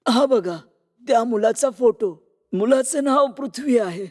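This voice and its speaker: Man acting a high, mellow, deferential old servant. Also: measured pace. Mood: eager, respectful. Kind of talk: cartoon moral story dialogue. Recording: long reverb on voice.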